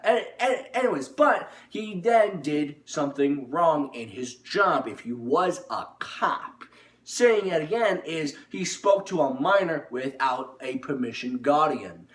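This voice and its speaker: Echoey, higher-voiced man telling a personal story. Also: a bit bright. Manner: rambling and repetitive, restarting phrases. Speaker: storytelling YouTuber. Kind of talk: informal personal storytelling to viewers. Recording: echoey room, distant microphone.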